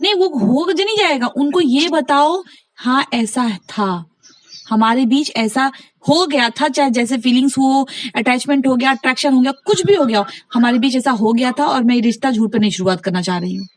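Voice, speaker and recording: A woman with a somewhat high-pitched voice chats casually on a recorded phone call. The sound is close and dry. She speaks insistently, repeating phrases as she gives advice. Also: moderate pace, no echo. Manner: insistent, repeats herself, advising. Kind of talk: casual chat, phone conversation.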